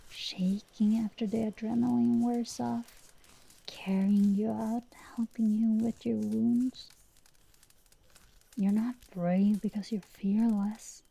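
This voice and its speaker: Slow, soft-spoken tomboy dragon girl played by a woman. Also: fairly high pitch, no echo, full character voice. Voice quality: soft, breathy whisper at times. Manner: slow, intimate, teasing, affectionate. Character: tomboy dragon girl sorceress, brash tsundere, secretly tender. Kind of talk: whispered ASMR roleplay. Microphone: close mic.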